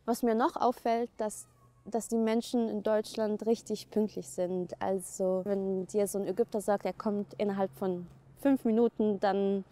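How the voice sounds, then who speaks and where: Young Egyptian woman, mellow voice. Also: medium pitch. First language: Arabic. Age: young adult. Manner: earnest, with frequent fillers. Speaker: student. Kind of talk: interview monologue.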